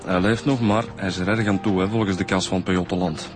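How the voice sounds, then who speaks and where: A man's voice: low-pitched, steady tone, fast talker, over music, natural voice. A man playing a chatty, easygoing police detective in a radio drama, informal, with a Flemish accent. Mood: matter-of-fact, informal. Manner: informal, colloquial. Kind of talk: naturalistic radio drama acting.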